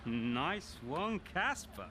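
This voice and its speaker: An actor playing a smug, scheming rival racing driver with a matey, insinuating way of talking in a video game cutscene. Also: male voice, fairly high pitch, very animated, extremely slow, full character voice. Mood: chummy, snide. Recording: clear outdoor ambience and vehicle noise.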